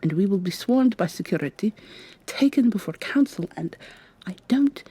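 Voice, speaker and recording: A woman's voice: mid-range voice, animated, moderate pace, breathy edge. A woman playing a weary, sardonic vampire receptionist with a secret, acting in a scripted ASMR roleplay. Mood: hushed, alarmed. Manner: polite customer-service patter, hushed urgent asides.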